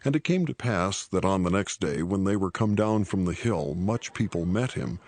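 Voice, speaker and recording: A man narrating scripture in a solemn, measured and formal reading style. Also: deep voice, lively intonation, a little mellow, no echo.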